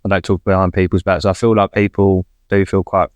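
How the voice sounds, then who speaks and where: A man's voice: sits low, little pitch movement, fairly quick, no room sound. A man with a London accent, a podcast guest who chats casually in a self-deprecating way and laughs easily. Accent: London English. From London, England. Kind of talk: casual chat.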